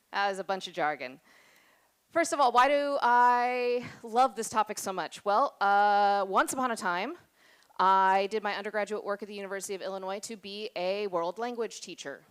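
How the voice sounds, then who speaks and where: A woman's voice: medium pitch, clear, resonant tone, no echo. A woman in her thirties from the United States giving a chatty, self-deprecating conference talk full of fillers. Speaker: conference presenter. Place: conference room.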